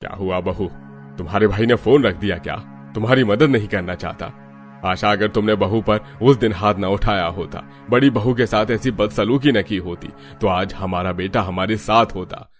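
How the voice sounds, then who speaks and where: A man's voice: lowish voice, normal speed, faint music behind, lightly acted. A man playing a regretful older father-in-law in a cartoon, lecturing his family. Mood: reproachful regret.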